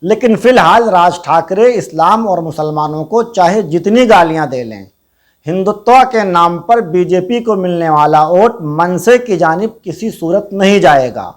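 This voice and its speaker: A man's voice: sits high, animated, measured pace. A man delivering scripted political commentary in a formal, rhetorical, pointed manner. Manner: rhetorical, pointed questioning, formal Urdu-laced wording. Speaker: political commentary channel host.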